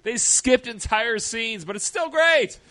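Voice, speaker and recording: A man co-hosting a casual podcast, loud and brash, swearing and jumping into the talk. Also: extremely high pitch, lively intonation, measured pace, dry recording. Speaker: podcast co-host.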